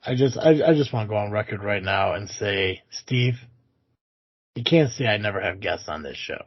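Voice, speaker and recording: Sarcastic, husky male co-host. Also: on the low side, lively intonation, moderate pace. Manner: sarcastic, profane. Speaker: podcast co-host. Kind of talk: casual chat. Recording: dull lo-fi podcast audio.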